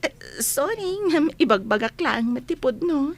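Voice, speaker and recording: A woman's voice: sits high, lively intonation, a little slow. A woman playing a kind, frank young student in a radio drama, with a playful manner. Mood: frank, teasing. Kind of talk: Filipino radio drama acting.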